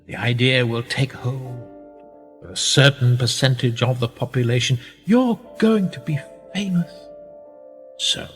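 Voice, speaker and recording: An actor playing a powerful, manipulative titled gentleman in a radio play, measured and condescending, with quiet menace. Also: mid-range voice, close to an everyday voice. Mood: persuasive. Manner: measured, condescending, with rhetorical tag questions. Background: music.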